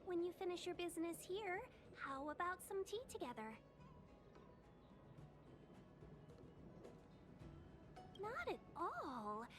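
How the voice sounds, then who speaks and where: A woman playing an affable, courteous young female envoy in a video game, speaking politely and diplomatically. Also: very high voice. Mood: friendly, courteous. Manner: polite, conversational, smoothly diplomatic.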